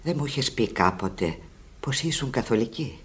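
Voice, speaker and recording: A woman's voice: extremely low pitch, lively intonation. Firm, worried woman, distant. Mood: concerned. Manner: coaxing, insistent, measured. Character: firm, practical, worried madam tending a sick woman. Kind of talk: dramatic Greek radio play acting. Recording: echoey, distant, hissy old radio recording.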